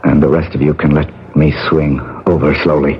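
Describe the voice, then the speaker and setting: An actor playing a steady, commanding leader of a frightened climbing party in an old-time radio drama, giving firm instructions. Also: male voice, a bass voice, a little fast. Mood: matter-of-fact, determined. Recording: dull, noisy old radio broadcast.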